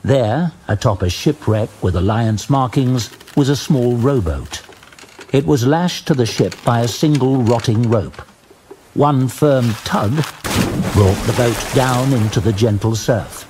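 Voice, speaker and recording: A man reading dramatic scripted fantasy narration as an audiobook narrator, measured and deliberate. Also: medium pitch, lively intonation, a little mellow, a little hiss or hum.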